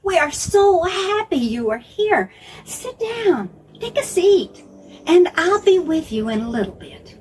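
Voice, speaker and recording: A woman voicing an excitable, busy hostess from a children's Bible story, exclamatory and repeating herself. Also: very high voice, measured pace, a little hiss or hum, voice pushed up, heavily acted. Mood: gushing, welcoming. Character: Martha, excited busy hostess turning indignant at unfairness.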